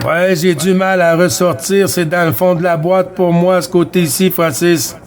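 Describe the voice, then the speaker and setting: A man chatting as a CB radio operator, giving friendly greetings and sign-offs in a halting, hesitant way. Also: sits high, little pitch movement. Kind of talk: radio contact chat, exchanging sign-offs.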